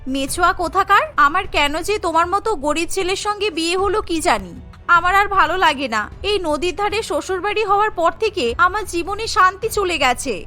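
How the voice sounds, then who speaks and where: A woman's voice: very high-pitched, expressive, fairly quick, bright, forceful tone, faint music behind, heavily acted. A woman voicing a spoiled, haughty rich young wife in a cartoon story, boastful, scornful and dramatic. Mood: angry, scornful.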